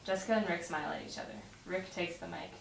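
Echoey, low-voiced young woman reading a script. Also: quick pace, vocal fry. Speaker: script narrator at a table read. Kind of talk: reading stage directions aloud. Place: home dining room around a table. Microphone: far camera mic. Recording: distant mic, roomy echo, noisy.

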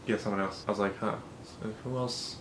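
A young man with a low, monotone voice, talking casually into a condenser mic in a small bedroom. He sounds loose and self-critical, and swears freely as he goes. A faint hiss of room noise sits under his voice. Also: brisk, echoey room. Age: young adult. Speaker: rap battle creator. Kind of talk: casual behind-the-scenes vlog. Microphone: studio condenser mic.

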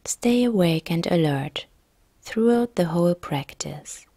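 A woman yoga nidra instructor narrating a guided relaxation meditation in a calm, soft, gentle, breathy way. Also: lowish voice, slow pace, dry recording.

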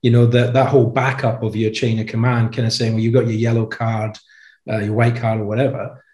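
Male guest, 50s, mid pitch, chatty anecdotal storyteller, webcam audio with room echo. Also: brisk. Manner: chatty, animated anecdotes with frequent "you know". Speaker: podcast guest, former commando. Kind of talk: casual storytelling chat. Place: indoor room with a brick wall. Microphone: video call webcam. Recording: roomy echo.

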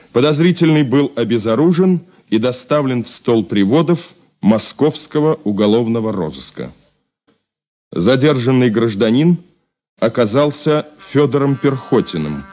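An actor playing a police officer who reads out a report in a dry, official, measured manner in a radio drama. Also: male voice, medium pitch, darkish tone, close to an everyday voice. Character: formal male police officer reading out a report.

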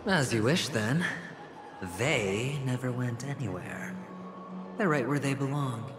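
A man's voice: medium pitch, lively intonation, takes it slowly, noisy background. A man playing a weary, sly young gambler in a video game, speaking in a wry, guarded way. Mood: cool, defiant. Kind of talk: video game drama dialogue.

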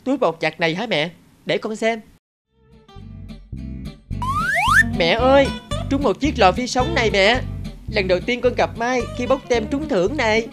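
A woman voicing a superstitious young schoolboy in a cartoon, plaintive and expressive. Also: a little high, fairly quick, natural voice. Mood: excited. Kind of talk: scripted cartoon dialogue. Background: background music.

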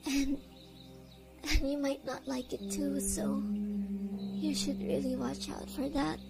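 A woman voicing an obsessive, possessive young woman in an audio roleplay, talking in soft whispers with giggles. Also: high voice, little pitch movement, slow pace, gravelly, music in the background, exaggerated voice. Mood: threatening.

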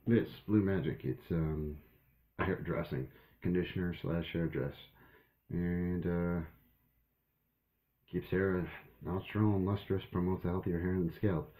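A man's voice: low voice, fairly even intonation, measured pace, long pauses, dark tone. A young man rambling casually to a webcam in a small bathroom, with many fillers and restarts. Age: young adult. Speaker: shaving vlogger. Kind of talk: casual talk to camera. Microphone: webcam at arm's length. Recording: echoey small room.

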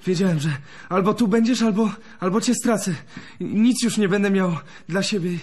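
An actor playing a naive, devoted young musician in a radio drama, earnest and halting with a slight stammer. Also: male voice, sits high, darkish tone. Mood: tender, earnest.

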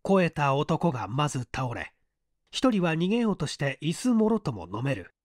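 An audiobook reader giving a measured, dramatic narration of literary fiction. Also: medium pitch, sing-song, a little mellow, no echo, clean studio sound.

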